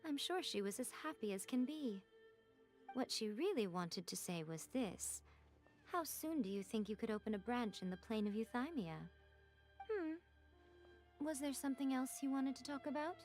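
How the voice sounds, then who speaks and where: A woman's voice: a little high, expressive, normal speed, a little mellow, lightly acted. A woman playing a sly, elegant shrine priestess in a video game, teasing in a playful, knowing, refined way. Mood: knowing, coy. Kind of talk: scripted video game voice acting. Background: music.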